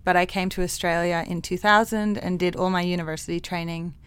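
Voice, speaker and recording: A woman's voice: fairly low pitch, fairly even intonation, a little fast, a bit bright, smooth, no room sound. A woman psychologist with a mixed international accent, talking frankly and laughing often in an interview. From Hong Kong. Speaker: clinical psychologist guest. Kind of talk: interview conversation.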